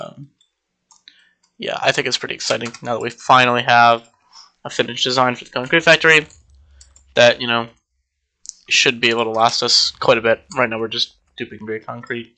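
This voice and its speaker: A man's voice: mid-range voice, level tone, fairly quick, gravelly, pushed and bright. A young man casually walking through a game build, rambling with many ums and sounding slightly congested. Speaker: Minecraft server player. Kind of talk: casual gameplay walkthrough.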